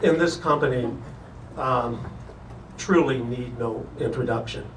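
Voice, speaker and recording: A man's voice: mid-range voice, a little slow, distant mic. A man presenting as the mild, hesitant straight man in a live comedy dialogue. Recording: roomy, noisy conference hall from afar.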